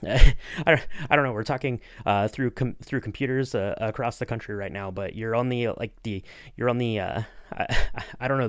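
A man hosting a podcast in casual chat, talking in a halting, rambling way. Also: on the low side, no room sound, studio quality. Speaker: podcast host and interviewer.

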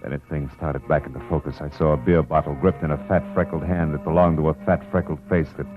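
Hardboiled detective, American: deep register, dry wisecracks, weary edge, vintage radio noir sound. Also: male voice, steady tone, fast talker. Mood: world-weary. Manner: wry, clipped, hardboiled. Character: tough, weary, wisecracking male private detective. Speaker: lead actor and narrator. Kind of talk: 1940s-50s radio noir acting, hardboiled narration. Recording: old muffled radio recording with music behind.

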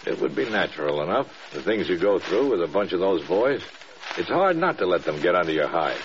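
An American man playing an authoritative, shrewd senior general in a mid-century radio drama, measured and emphatic. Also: sits low, big pitch swings. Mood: probing, fatherly. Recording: muffled vintage radio with faint noise.

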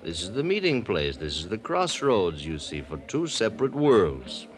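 A tipsy, world-weary producer. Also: male voice, lowish voice, very animated, moderate pace. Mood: rambling. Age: middle-aged. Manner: theatrical, rambling, laughing, mock-drunk. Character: tipsy, world-weary middle-aged male radio producer. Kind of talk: 1950s radio drama acting.

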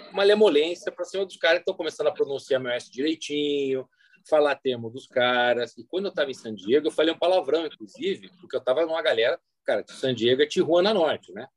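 A man's voice: a little high, no echo. Brazilian man chatting casually, dry close sound. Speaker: sports interpreter and guest. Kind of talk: casual, anecdotal interview chat.

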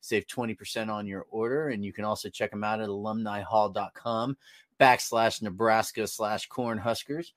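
A man in his late forties co-hosting a sports podcast, rambling along with plenty of ums. His voice sits a little low and comes across dry and close, with no room sound. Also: steady tone, normal speed, a bit bright, no room sound. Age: 40s. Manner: loose, rambling with many ums. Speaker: podcast co-host. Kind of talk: casual sports podcast chat.

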